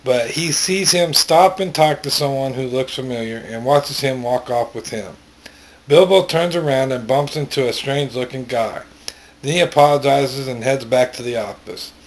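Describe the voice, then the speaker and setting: A man narrating a story in an amateur read-aloud style, plainly, with pauses and stumbles. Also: medium pitch, rough recording.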